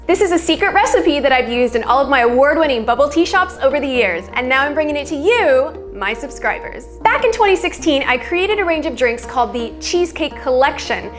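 A high-voiced woman in her thirties talks enthusiastically to camera from a small shop kitchen, explaining a drink recipe like a friendly tutorial host. Her tone is upbeat and chatty, and soft music plays under her voice. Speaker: bubble tea shop owner and host.

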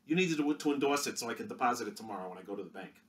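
A man's voice: mid-range voice, expressive, rapid-fire, lightly acted. A man voicing a sassy young woman secretary of the 1930s, breezy and slangy.

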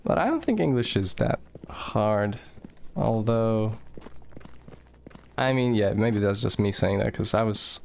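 Gravelly-voiced man streaming. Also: fairly low pitch, lively intonation, a little slow, long pauses, a little mellow, a little hiss or hum. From Moldova. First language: Russian. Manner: chatty, loose, swears casually, reads chat aloud. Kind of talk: casual live-stream chat while gaming.

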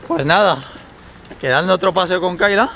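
A man in his thirties with a Castilian Spanish accent vlogs in an informal, expressive way. His voice sits a little high. The handheld recording carries light noise. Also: normal speed, vocal fry. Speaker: vlogger. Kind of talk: casual vlog narration and chat. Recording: noisy handheld on-location recording.

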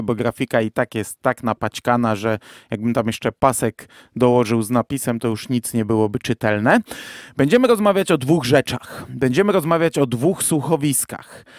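A man hosting a podcast in a casual, conversational way, often pausing to think aloud. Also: medium pitch, no echo, studio quality. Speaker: podcast host.

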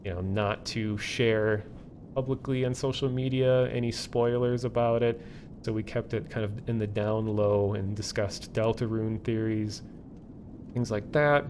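A man chatting casually as a streamer, rambling and halting as he thinks aloud. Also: steady tone, darkish tone. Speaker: streamer and online community host. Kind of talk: casual livestream chat. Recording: low rumbling background noise.

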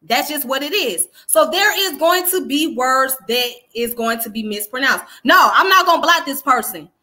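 A woman's voice: sits high, expressive, normal speed, sharp tone. A woman in her thirties with a Southern US accent, giving an emphatic casual livestream history talk to her viewers and checking in with them often. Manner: emphatic, preachy, keeps checking in with "okay?". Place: small room indoors. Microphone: webcam close up.

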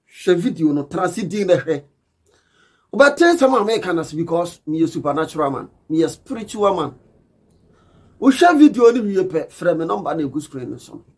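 A man's voice: on the high side, a little mellow, breathy edge. A man in his thirties with a Ghanaian accent, a preacher speaking straight to camera indoors in an emphatic, pleading, admonishing way. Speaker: preacher giving advice to camera. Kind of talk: direct-to-camera admonishing talk. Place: furnished living room indoors.

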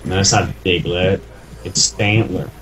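A man's voice: low-pitched, steady tone, unhurried, fairly bright tone, poor audio. A young man chatting casually while playing a game with friends, joking crudely and swearing a lot. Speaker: gamer recording with friends. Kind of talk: casual gaming chat.

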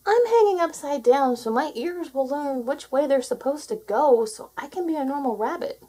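A woman's voice: high voice, animated, moderate pace, mellow, voice pushed up. A woman voicing a sheepish, puzzled young male rabbit in a children's storybook. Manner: sheepish, musing. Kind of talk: children's storybook read aloud.

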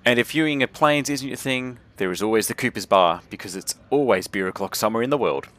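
A man's voice: medium pitch, fast talker, fairly bright tone, slight background noise. A man narrating his travel vlog in a chatty, wry voice-over. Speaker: travel vlogger. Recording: music bed under the voice.

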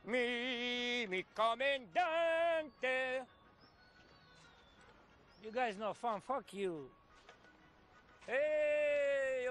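Brash high-voiced Russian gangster. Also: male voice, fairly bright tone, exaggerated voice. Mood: theatrical teasing. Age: middle-aged. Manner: boisterous, teasing, drawn-out delivery. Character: brash, foul-mouthed, jovial Russian male gangster. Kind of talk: live-action game cutscene acting.

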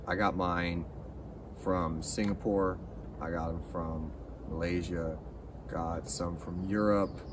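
A middle-aged man with a low voice talks slowly and easily about his favorite fishing lure. He rambles, relaxed and keen on his gear, recorded outdoors by a camera set some way off, with steady background noise in the forest. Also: recorded from a distance. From California. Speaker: fishing channel host. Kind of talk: casual lure review to camera. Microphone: camera at a distance.

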